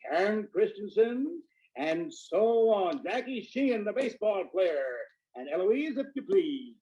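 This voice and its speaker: Jolly old-time radio uncle, muffled. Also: male voice, high voice, sing-song, a little slow, dark tone. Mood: doting, cheerful. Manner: effusive, sing-song, chuckling. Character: jolly, doting uncle host of children's radio show. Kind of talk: 1940s children's radio acting.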